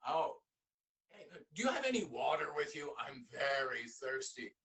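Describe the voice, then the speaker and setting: A man's voice: mid-range voice, sing-song, unhurried, fairly bright tone, far from the mic, pitched below the performer's own voice. A man voicing a thirsty crocodile that asks casually for water.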